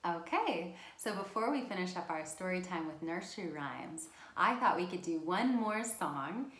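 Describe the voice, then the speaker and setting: A woman's voice: on the low side, lively intonation, normal speed, fairly bright tone. A woman hosting an interactive storytime for young children, speaking directly to them in an encouraging, sing-song way. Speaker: children's storytime host at a library. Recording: roomy, echoing sound.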